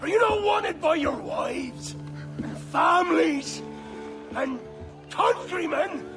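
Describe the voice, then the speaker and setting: An actor playing a menacing, brooding middle-aged pirate captain in a video game, with a gravelly breathy growl and grandiose delivery. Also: male voice, very high voice, lively intonation, over music, full character voice. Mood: raging oratory. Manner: slow, grandiose, swinging between whisper and roar. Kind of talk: cinematic video game acting.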